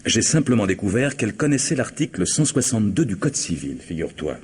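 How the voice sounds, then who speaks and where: A man's voice: on the low side, sing-song, fairly quick, slightly rough, exaggerated voice. An actor playing a stern, agitated middle-aged man secretly in love, earnest and emphatic, in a French radio play. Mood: indignant. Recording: noisy old radio drama recording.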